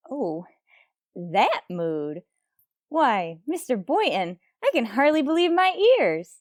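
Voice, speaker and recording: An actress playing a wry, lovelorn schoolteacher with deadpan, sarcastic wit in an old-time radio comedy. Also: female voice, fairly high pitch, slow, no echo, studio quality. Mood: wry, hopeful.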